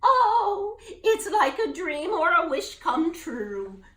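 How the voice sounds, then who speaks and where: A woman voicing a fussy, motherly female toad in a children's story, with a shrill, fluttery voice. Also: sing-song, slow pace, a bit bright, heavily acted. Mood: relieved. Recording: echoey room.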